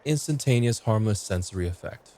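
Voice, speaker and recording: A man talking casually and a bit haltingly as a guest player in a tabletop roleplaying game. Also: lowish voice, animated, warm tone.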